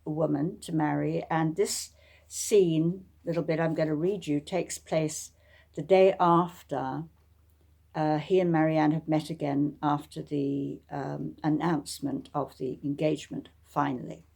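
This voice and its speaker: A woman with a low-pitched voice presents her own fiction as its author. Her delivery is measured and deliberate, with frequent pauses, between informal talk and audiobook reading. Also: steady tone, creaky, warm tone.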